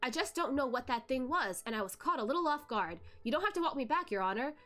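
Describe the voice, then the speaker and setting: A brash, weary man with a sharp tongue, played by a woman reading a novel aloud. The delivery is blunt and casual in a high register, over faint music. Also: expressive, fast talker. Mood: reassuring, brash.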